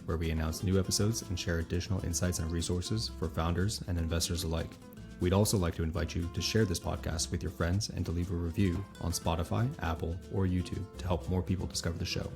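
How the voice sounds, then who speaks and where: A man with a very deep, gravelly voice reads a brisk scripted welcome for the show. Clear guitar music plays under his voice. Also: fairly even intonation. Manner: reads a set welcome and sign-up pitch. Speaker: podcast co-host.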